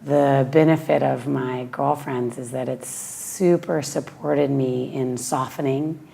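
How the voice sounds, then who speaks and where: A woman from Western Massachusetts with a raspy voice, a yoga teacher speaking slowly and thoughtfully in a heartfelt personal interview. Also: rumbling low, warm tone. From Western Massachusetts, USA.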